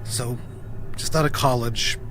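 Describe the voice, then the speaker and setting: An actor playing a wistful, candid former youth pastor in a naturalistic audio drama, telling his story in a reflective, halting way. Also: mid-range voice, steady tone, over music, lightly acted. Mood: somber, grieving.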